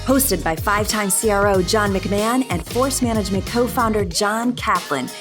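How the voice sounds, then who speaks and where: Announcer: bright promo-style woman, clear music bed underneath. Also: medium pitch, moderate pace. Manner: polished, upbeat promo read. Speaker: podcast announcer. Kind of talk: scripted intro announcement. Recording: voice-over with music behind.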